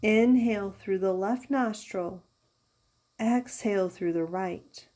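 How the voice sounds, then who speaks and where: A woman leading a guided yoga nidra meditation as a teacher, giving soothing, unhurried instructions with pauses. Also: medium pitch, dark tone. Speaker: yoga therapist guiding a meditation.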